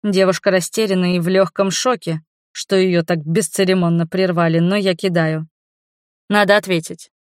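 A woman's voice: on the low side, steady tone, moderate pace, a little mellow, dry recording, clean studio sound. A woman giving a dramatic first-person narration of a novel as an audiobook reader.

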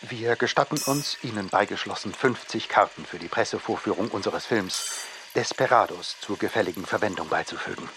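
An actor playing a formal official in a radio play, reading out letters in an officious, bureaucratic manner. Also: male voice, on the low side, brisk, background noise, natural voice. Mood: stiff, formal.

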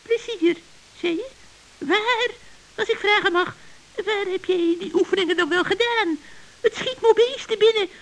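A woman's voice: very high voice, normal speed, low-quality recording. A woman voicing a scheming, cackling witch in an old children's radio play, fawning and tripping over her words. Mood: sly.

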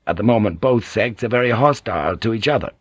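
Wary ship pilot, read by a male narrator, careful and deferential, lowish voice, dry sound. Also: animated, quick pace, no room sound, muffled recording.

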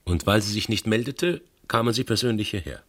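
An actor playing a matter-of-fact police detective in a German radio crime drama, questioning in a clipped, methodical way. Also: male voice, lowish voice, a little fast, breathy edge. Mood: probing. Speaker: police investigator.

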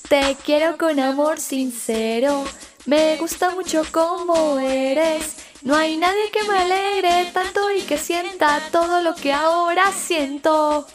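Woman as dreamy woman in love, Colombian accent, slow, gushing, faint music behind. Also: very high-pitched. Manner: gushing, sighing. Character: dreamy lovestruck young woman gushing about her boyfriend. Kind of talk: radio soap acting.

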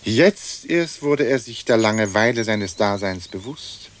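Haughty old ghostly lord, ornate and pompous, wryly melancholic, radio drama with tape hiss. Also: male voice, on the low side, lively intonation, a little slow. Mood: reproachful. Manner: pompous, ornate aristocratic speech. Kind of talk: German radio play acting. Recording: hissy old radio play recording.

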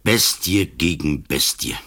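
An old police commissioner in a radio drama, with an extremely deep voice. He speaks slowly and gravely, like a relentless judge passing sentence. He is ailing yet implacable. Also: expressive, sharp tone, exaggerated voice. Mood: cold, implacable. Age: older. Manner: slow, grave, accusing, relentless. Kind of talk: radio play acting.

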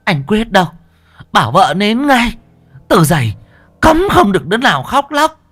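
Man acting bossy old village woman, pitched up. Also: very animated, moderate pace, soft background music. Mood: scolding. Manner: scolding, commanding, shouting orders.

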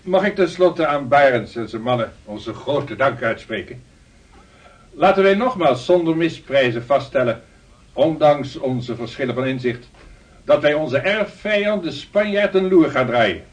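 A proud, commanding merchant lord holds forth in a radio drama. His highish voice swings widely as he declaims, formal and defiant, heard a little way off. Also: animated, measured pace. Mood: stately, firm. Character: commanding sixteenth-century merchant leader, proud, defiant, quick-tempered. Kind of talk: radio play oratory. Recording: dull, slightly distant radio recording.

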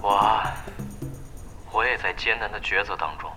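A man's voice: on the low side, moderate pace, close to an everyday voice. A man in an audio drama playing a guarded veteran pro gamer, courteous and wry. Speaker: voice actor in a radio drama. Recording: music behind the voice.

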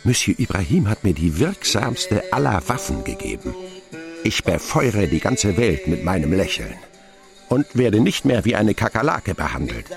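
A wry man narrating memories of his boyhood in a radio play. His voice is deep and creaky, swinging widely in pitch as he tells it with sardonic expression. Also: wildly swooping pitch, moderate pace, music in the background, full character voice. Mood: wry, elated. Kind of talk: scripted radio drama narration.

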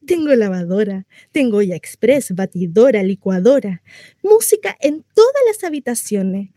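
A woman plays a naive, lonely housewife in a radio monologue. Her voice is warm and mellow, in a very dry close recording. She rambles confidingly, insisting cheerfully on her good life and turning coy over delicate matters. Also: mid-range voice, sing-song, moderate pace, dry recording, lightly acted. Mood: chatty, boastful. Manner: gossipy, rambling, confiding, coyly hesitant. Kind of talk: theatrical monologue read for radio.

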